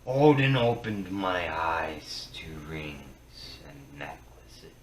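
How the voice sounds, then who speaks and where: Male storyteller for dramatic verse: deep, grave, theatrical, halting delivery, recorded at a distance from the mic. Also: big pitch swings. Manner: solemn and theatrical, with halting phrases and swings from hushed to booming. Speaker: storyteller reciting Norse myth. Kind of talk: dramatic poetry reading. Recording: roomy, distant mic with faint noise.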